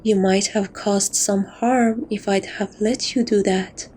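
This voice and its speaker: Woman doing a doting seductive catgirl, slow soft coaxing asmr roleplay, noisy background. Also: medium pitch, fairly even intonation, lightly acted. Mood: coy, confiding. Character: seductive, possessive catgirl thief doting on her captive.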